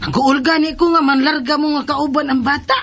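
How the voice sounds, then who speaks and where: High-pitched, chatty Filipino auntie. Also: female voice, steady tone, a little fast, fairly bright tone, a little hiss or hum. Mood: delighted, relieved. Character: cheerful, chatty aunt eager to join the trip. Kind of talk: Filipino radio drama acting.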